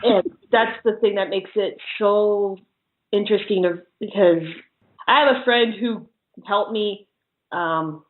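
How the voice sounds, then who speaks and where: A woman's voice: medium pitch, a little slow. A woman chatting casually as an interview guest, telling personal anecdotes and often giggling as she talks. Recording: muffled phone-like call audio.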